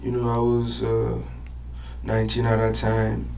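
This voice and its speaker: A man's voice: mid-range voice, completely flat delivery, a little slow, vocal fry, mellow, far from the mic. Laid-back man, a bit off-mic. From Burkina Faso. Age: 20s. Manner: laid-back, chuckling, loose filler-heavy talk. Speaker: podcast guest, DJ. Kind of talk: casual interview chat. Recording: voice a bit off-mic with faint room noise.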